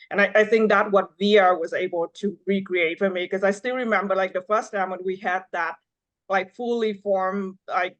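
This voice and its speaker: A woman's voice: on the low side, fairly bright tone, lo-fi sound. Woman from Vietnam. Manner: halting, frequent fillers and restarts. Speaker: neonatology fellow. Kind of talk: panel discussion.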